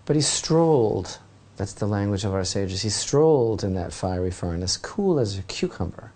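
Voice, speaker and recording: Brief: male rabbi teacher, mellow warm tone, lively storyteller with asides. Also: on the low side, moderate pace. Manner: dramatic storytelling, asides to listeners. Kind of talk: Torah lesson retelling a legend.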